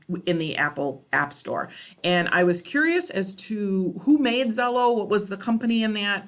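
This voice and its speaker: A woman presenting an informal screen-share tutorial in a conversational, explanatory way, often checking in with the listener. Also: fairly low pitch. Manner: conversational, explanatory, checks in with okay and all right. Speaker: presenter of a library technology tutorial.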